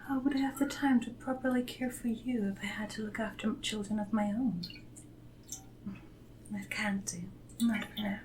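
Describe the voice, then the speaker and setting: Woman playing a soft, whispery Regency lady's maid. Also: a little high, slight background noise, natural voice, poor audio. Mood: devoted, gently reassuring. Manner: formal, gentle, attentive period speech. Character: devoted, deferential lady's maid in Regency England. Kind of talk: soft-spoken ASMR roleplay, drifting into whispers.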